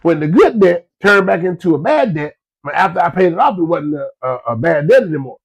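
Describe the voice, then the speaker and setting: A man's voice: on the high side, sing-song, fairly quick, slightly rough. A man, stuffed up from a cold, giving folksy business advice to his listeners in a casual, repetitive way. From Florida. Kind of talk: casual motivational talk to listeners.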